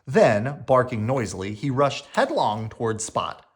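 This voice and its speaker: Children's read-aloud narrator: mid-pitched, leisurely, highly varied delivery, playful and dramatic. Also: very animated, a little slow. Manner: dramatic, with playful sound words. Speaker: children's book reader. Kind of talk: animated read-aloud storytelling.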